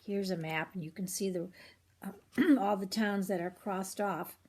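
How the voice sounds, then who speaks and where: A woman's voice: medium pitch, steady tone, measured pace, a little mellow. An older woman reading a children's chapter book aloud as a storyteller, expressively acting out the dialogue.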